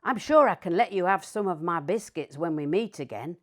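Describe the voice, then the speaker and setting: A woman's voice: lowish voice, animated, brisk. A woman voicing a friendly, grateful rescue dog in a read-aloud story.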